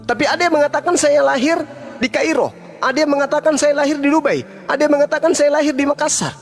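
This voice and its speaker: High-voiced man preaching in heated debate. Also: fast, soft background music. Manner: forceful, rhetorical questions, swings from low to loud emphasis.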